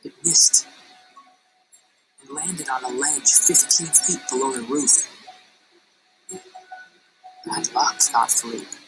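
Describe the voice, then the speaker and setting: A woman teacher reading a picture book aloud in an expressive storytelling voice, slowing down on dramatic phrases. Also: a bass voice, poor audio. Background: music.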